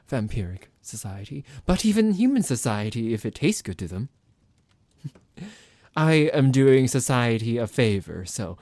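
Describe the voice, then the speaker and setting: A man's voice: mid-range voice, no room sound. A man playing a smug, psychotic vampire doctor in an ASMR roleplay, condescending, theatrical and menacing toward his captive. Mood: angry, threatening.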